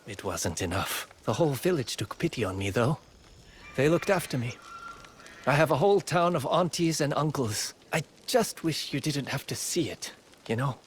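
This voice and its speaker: Casting: male actor as eager young villager-turned-fighter, sincere, mid-pitched, game drama, faint background noise. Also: animated, moderate pace, lightly acted. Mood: earnest, resolute. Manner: earnest, eager. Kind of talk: video game cutscene acting.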